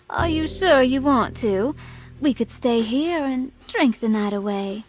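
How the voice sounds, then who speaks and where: An actress playing a flirtatious, decadent 1920s socialite in a video game, languid, teasing and theatrical. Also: female voice, high-pitched, expressive, slow pace. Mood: sly, amused. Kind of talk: video game cutscene acting with period slang. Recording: background music behind the voice.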